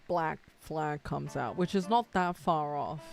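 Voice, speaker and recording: A woman streamer chatting casually with her viewers while playing a game, chatty and animated. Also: deep voice, slow, creaky, soft background music. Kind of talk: casual gaming livestream chat.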